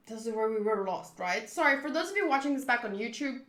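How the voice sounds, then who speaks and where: A woman game streamer with a high, bright voice. She chats and reads aloud theatrically, often drifting into asides, in a roomy, echoing space. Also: animated, fast. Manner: chatty, theatrical, swerving into asides. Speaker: streamer playing a visual novel. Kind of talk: casual live playthrough commentary, reading aloud.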